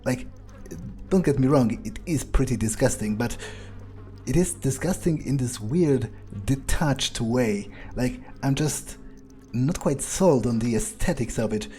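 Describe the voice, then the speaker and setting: Slow, mellow man musing aloud. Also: mid-range voice. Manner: hesitant musing, dry understatement, casual swearing. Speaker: video game player and host. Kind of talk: in-character game commentary, thinking aloud.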